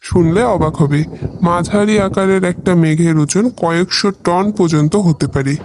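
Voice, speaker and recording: A high-pitched male cartoon cloud, acted by a man, patient and wise. It explains things in a measured, playful way, like a teacher for children. There is faint noise behind the voice. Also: close to an everyday voice. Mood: patient, explaining. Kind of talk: educational cartoon dialogue.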